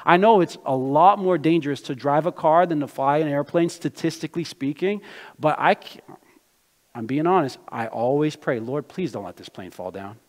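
A man born in Brazil and raised in the United States, preaching a sermon as he tells his own story and builds to emphatic, loud passages. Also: on the high side, moderate pace. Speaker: pastor. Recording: long hall reverb.

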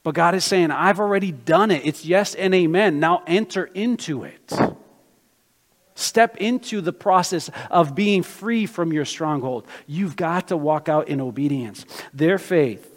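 A man preaching a sermon as pastor, born in Brazil and raised in the US, telling personal stories in an animated way. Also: on the high side, measured pace. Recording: long hall reverb.

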